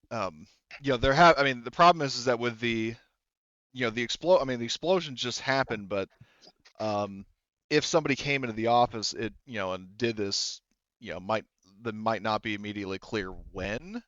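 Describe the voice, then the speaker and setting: A man casually improvising as a tabletop game master, explaining haltingly and often restarting his sentences. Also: mid-range voice, lively intonation, fairly bright tone, no echo, dull audio.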